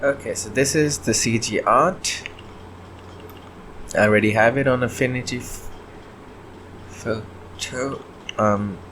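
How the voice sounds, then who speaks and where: A man chatting casually and haltingly as he thinks aloud while working on his indie game devlog. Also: medium pitch, a bit bright, a little hiss or hum. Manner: halting, often clearing throat.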